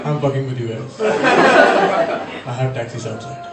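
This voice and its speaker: Loud noise surrounds a male stand-up comic recorded from a distance in a roomy club. He has an urban American accent and a fairly high, animated voice. His delivery is slangy and theatrical. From India. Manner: slangy, profane, theatrical delivery with dramatic pauses. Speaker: stand-up comedian. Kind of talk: stand-up comedy set. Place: live comedy club stage.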